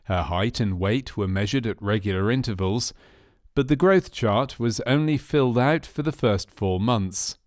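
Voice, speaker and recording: A man reading scripted documentary narration for a medical training film in a measured, factual manner. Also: lowish voice, a little mellow, dry recording, clean studio sound. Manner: measured, factual delivery of clinical case history.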